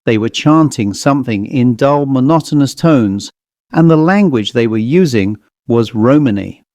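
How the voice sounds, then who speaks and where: Male narrator for supernatural tales: warm, relaxed tone, deliberate scripted storytelling, very dry sound. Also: mid-range voice, no room sound. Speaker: narrator and host of horror story readings. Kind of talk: scripted narration of classic ghost stories.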